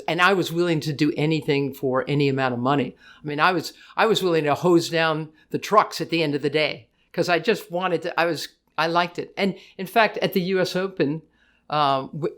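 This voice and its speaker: Deep-voiced older woman, chatty and quick. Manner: talks fast in bursts with many restarts, laughs easily. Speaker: guest, tennis broadcaster. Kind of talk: casual interview chat.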